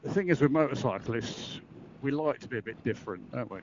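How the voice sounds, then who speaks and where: A man's voice: mid-range voice, brisk. A man chatting casually as a motorcycle reviewer while he rides, talking over a helmet intercom. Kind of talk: casual chat while riding. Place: outdoors, riding a motorcycle. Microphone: helmet intercom mic. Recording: dull, muffled helmet intercom.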